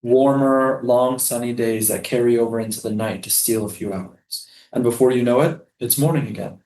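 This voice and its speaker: A man playing a poetic, defiant prisoner, speaking lyrically and deliberately in a theatrical staged reading. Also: mid-range voice, steady tone, a little mellow, slight background noise, lightly acted. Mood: poetic, intense. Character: male prisoner Jamil, dreamy, defiant, poetic. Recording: echoey live stage room.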